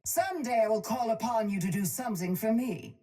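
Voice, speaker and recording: An actress playing a cold, posh, ruthless crime-boss tycoon in a video game, speaking in a haughty, deliberate, menacing way. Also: female voice, fairly high pitch, lively intonation, over a noisy crowd, lightly acted. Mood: dry, imperious. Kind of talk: video game character voice lines.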